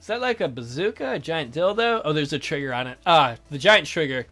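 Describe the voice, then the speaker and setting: A man hosting a let's play, reading a visual novel aloud with expressive, wisecracking commentary. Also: fairly high pitch, brisk. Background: music.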